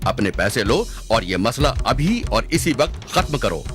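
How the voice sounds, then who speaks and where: A man playing a stern, just emperor of old India in an animated moral story, with a regal, commanding manner. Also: medium pitch, unhurried. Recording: music behind the voice.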